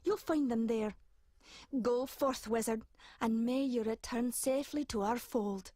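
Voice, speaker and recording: Earnest high-voiced young shepherdess. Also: female voice, slow pace, warm tone, no echo, studio quality. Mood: solemn. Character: earnest young female shepherd, kindly guild leader. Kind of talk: video game voice acting.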